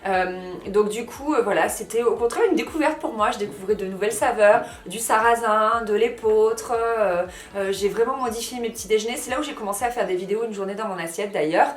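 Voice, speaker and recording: A woman in her thirties talks casually to the camera, her everyday voice sitting at an ordinary pitch. She rambles in a chatty way, full of fillers and quick self-corrections. The room around her rings with a roomy echo. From France. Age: 30s. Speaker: lifestyle vlogger. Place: house under renovation, indoors.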